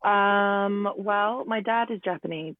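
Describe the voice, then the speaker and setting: A woman in her late thirties with an English accent, a guest actor, talking casually from a garden shed. Her voice is hoarse from a recent illness, and she hesitates, with many ums and restarts. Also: medium pitch, slow. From England. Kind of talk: casual interview chat. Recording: tinny phone-like remote line.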